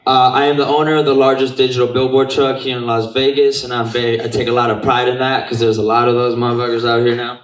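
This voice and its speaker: A man's voice: mid-range voice, level tone, quick pace, hardly any pauses. A man from Puerto Rico who owns a marketing business, talking to an event crowd in a loose, profane style full of street slang. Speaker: marketing business owner giving a talk. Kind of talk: casual talk to an audience. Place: event venue. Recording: roomy sound from a distance.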